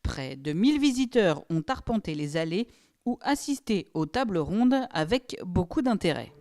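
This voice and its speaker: A woman's voice: lowish voice, big pitch swings, moderate pace, dark tone. Woman narrating a local news report. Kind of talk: scripted voice-over narration.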